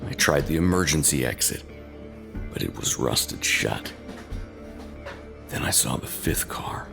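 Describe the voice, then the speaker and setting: A man narrating a scripted first-person horror tale in short, halting phrases with an ominous, dramatic manner. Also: very deep voice, lively intonation, gravelly, over music.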